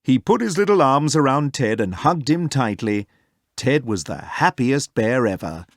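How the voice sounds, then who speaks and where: Man, children's read-aloud narrator, medium pitch, lively intonation, clear phrasing, very dry recording. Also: normal speed, no room sound. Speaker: storybook reader.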